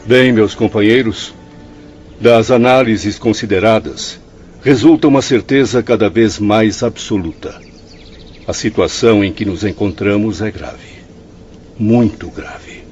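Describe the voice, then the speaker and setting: Actor as grave, low-voiced male professor. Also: a little mellow, soft background music, natural voice. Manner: formal, pedantic, deliberate. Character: Belarmino, grave, learned male professor spirit. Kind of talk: audio drama acting. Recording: dull, noisy audio with ambience.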